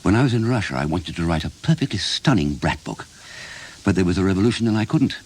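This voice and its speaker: An actor playing a beleaguered, fretful writer in a radio play. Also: male voice, deep voice, animated, fast talker, a little mellow, breathy edge. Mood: wistful, wry. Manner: fretful, wry, self-pitying. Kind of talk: radio play acting and reflective narration.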